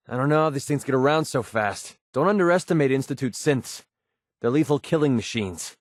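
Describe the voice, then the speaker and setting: A man's voice: medium pitch, no room sound, clean studio sound, natural voice. A man playing a cynical, wisecracking young mercenary sniper in a video game, speaking in an offhand, grumbling, sardonic way. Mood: wary, scornful. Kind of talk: video game companion dialogue.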